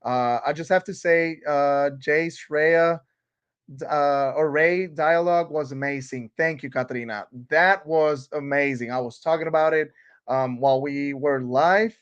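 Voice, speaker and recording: A man's voice: on the high side, measured pace, clean tone, highs cut off. A man from Puerto Rico, a native Spanish speaker with a Puerto Rican accent, hosting a casual live chat and rambling with many fillers and restarts. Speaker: live stream host.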